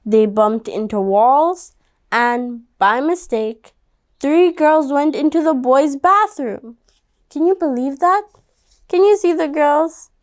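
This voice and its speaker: High-voiced, unhurried woman reading to children. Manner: conversational, addresses child listeners directly. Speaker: storybook reader. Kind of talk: children's read-aloud with asides to young listeners.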